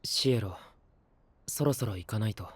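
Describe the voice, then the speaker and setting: An actor playing a composed young knight attendant with a quiet, restrained manner in an anime-style audio drama. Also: male voice, fairly low pitch, moderate pace, slightly rough, no echo. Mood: composed, reserved.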